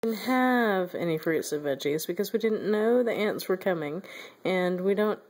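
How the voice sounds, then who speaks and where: Brief: middle-aged mom, patient explainer, easy laughs, home phone recording. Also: female voice, mid-range voice, lively intonation, moderate pace, a little mellow, low-quality recording. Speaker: mother and vlogger. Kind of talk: casual family chat. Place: home room with an ant farm. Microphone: phone camera.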